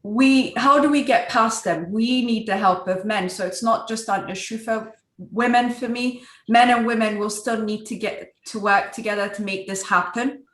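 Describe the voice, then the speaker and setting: A woman's voice: fairly high pitch, moderate pace, hardly any pauses. A woman from Ethiopia speaking earnestly as a guest on a virtual panel, with a strong voice. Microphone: video call. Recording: echoey room over a video call.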